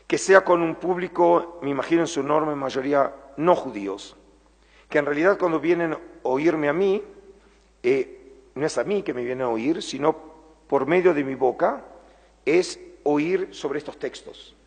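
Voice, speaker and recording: Middle-aged Argentine lecturer, husky tone, earnest and measured, stretches key words. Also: male voice, on the high side. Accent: Argentine Spanish. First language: Spanish. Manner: measured, earnest, slows down for emphasis. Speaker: lecturer, museum curator. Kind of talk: public lecture. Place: large former synagogue hall. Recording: long hall reverb.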